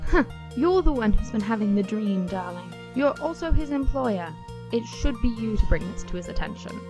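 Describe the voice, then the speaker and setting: A woman's voice: on the high side, big pitch swings, moderate pace. A woman playing a haughty, prim young noblewoman with a condescending, sarcastic manner in an audio drama. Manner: condescending, drawling, prissy. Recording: music behind the voice.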